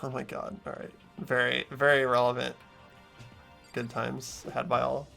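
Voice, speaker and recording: A man hosting a game playthrough, switching between casual commentary and expressive reading of the narration. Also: medium pitch, unhurried, vocal fry, faint music behind.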